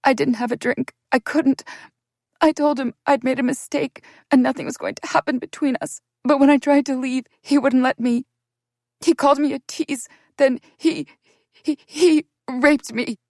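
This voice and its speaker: A woman's voice: medium pitch, steady tone, normal speed, creaky, warm tone, slightly rough, no room sound, clean studio sound, pitched above the performer's own voice. A woman reading an audiobook voices a distraught young woman confessing a painful secret, her speech pleading and breaking into tears. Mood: desperate.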